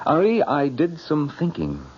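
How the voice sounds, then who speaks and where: An actor playing a cynical, restless man troubled by his imagination, speaking in emphatic, halting phrases in old-time radio mystery style. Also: fairly high pitch, wildly swooping pitch, noisy background. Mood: agitated, wry. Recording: muffled old radio recording, music behind.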